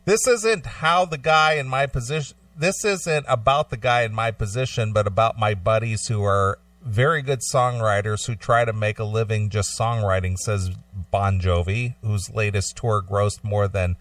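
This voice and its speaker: A man's voice: fairly low pitch, normal speed, fairly bright tone. A man hosting a casual talk show in a blunt, conversational way, at times reading an article aloud. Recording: slightly distant microphone, dry sound.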